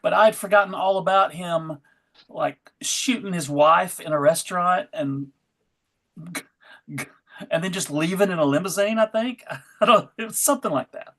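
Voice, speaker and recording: A woman's voice: fairly low pitch, normal speed, a bit bright. A woman panelist at a fan convention, chatting casually in a wry, joking way. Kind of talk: casual chat.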